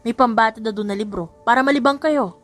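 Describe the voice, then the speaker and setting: A caring young mother as a woman narrator plays her in an audiobook. Her voice is fairly high, protective and gently firm, over background music. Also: quick pace, natural voice.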